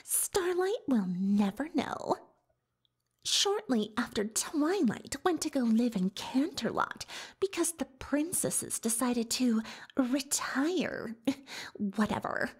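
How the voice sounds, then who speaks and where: A woman voicing a boastful, dramatic young female unicorn magician in soft-spoken, often whispered roleplay ASMR, theatrical and self-important. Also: high-pitched, breathy edge, heavily acted. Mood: boastful, delighted. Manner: theatrical, self-important, speaks of herself in third person.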